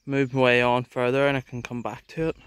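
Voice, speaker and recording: A man's voice: mid-range voice, level tone, brisk, a bit bright. A man co-hosting a casual homestead vlog, giving informal updates in short, clipped phrases. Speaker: vlogger, homestead co-host. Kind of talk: casual work update to viewers. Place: outdoors on a rural farm.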